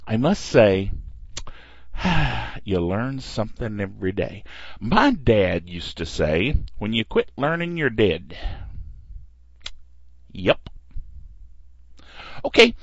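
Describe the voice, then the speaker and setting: A man's voice: mid-range voice, slow pace, gravelly, long pauses, no echo. A man hosting a podcast, chatting wryly and reading listener mail aloud. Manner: lively, wry, chatty, reads listener email aloud. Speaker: podcast host. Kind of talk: casual podcast monologue. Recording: dull lo-fi podcast audio.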